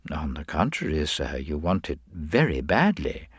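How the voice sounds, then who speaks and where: Man playing a quiet, deliberate, mild-mannered detective. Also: a bass voice, sing-song, slightly rough, no room sound, heavily acted. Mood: gently reasonable. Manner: hesitant, deliberate, polite understatement. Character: mild-mannered, gentle, reasonable older male government detective. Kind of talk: dramatised audiobook reading.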